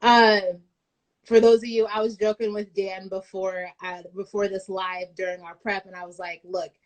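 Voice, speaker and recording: Talk show host, woman, upbeat and chatty, joking with her guest. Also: medium pitch. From United States. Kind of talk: casual live-stream talk show.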